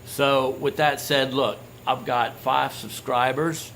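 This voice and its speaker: A man giving an informal product demonstration in a halting, explanatory way, often checking in with the listener. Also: medium pitch, little pitch movement, fairly bright tone. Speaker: product reviewer. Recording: clear background noise, home-made video.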